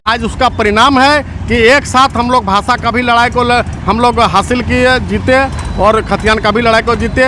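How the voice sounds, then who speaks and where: A man with a Jharkhand accent speaking as an activist in a political interview, earnest and speech-like. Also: very high-pitched. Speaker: activist, movement leader. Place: outdoors at a road junction. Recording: noisy outdoor roadside recording. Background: loud traffic and vehicle noise.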